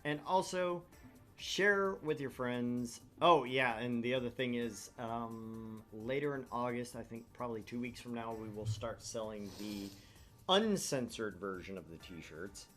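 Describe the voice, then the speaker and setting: A man hosting a gaming livestream, chatting casually and plugging things in a rambling, halting way. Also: mid-range voice, fairly bright tone. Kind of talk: casual stream chat and promotion. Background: background music.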